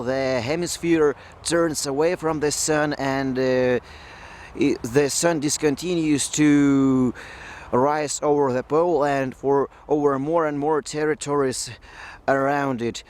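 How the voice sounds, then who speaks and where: A man speaking English as a second language, explaining a science topic slowly with frequent pauses and fillers. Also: medium pitch, a little mellow. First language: not English. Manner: slow, hesitant, with frequent fillers. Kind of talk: unscripted educational explanation.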